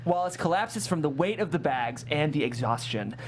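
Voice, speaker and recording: Low-voiced woman reading stage directions. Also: expressive, normal speed. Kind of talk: scripted narration at a comedy table read. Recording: faint room noise and hum.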